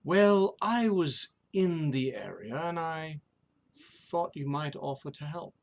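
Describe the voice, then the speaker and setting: An actor playing a courtly, sinister old man of science at a dramatic table read, speaking formally and deliberately. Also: a little high, lively intonation, vocal fry, heavily acted. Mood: calculating. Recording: noisy, slightly dull recording with clicks.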